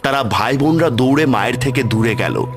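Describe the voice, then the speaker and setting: A man's voice: mid-range voice, expressive, a little fast. A man narrating a scripted horror story as its storyteller. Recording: music bed under the voice. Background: music.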